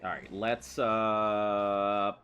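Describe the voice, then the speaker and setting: A man's voice: on the low side, steady tone, drawn-out words, fairly bright tone, smooth, muffled recording. A man giving casual, playful commentary while playing a video game, rambling and chatting with someone in the room beside him. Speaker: let's play host.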